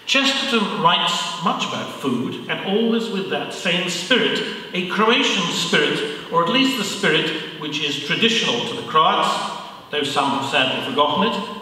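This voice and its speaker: A man with an English accent giving a prepared lecture to an audience, with dry, measured delivery and wry asides. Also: on the high side, sharp tone. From England. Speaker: guest speaker. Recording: echoey hall from far off, faint hiss.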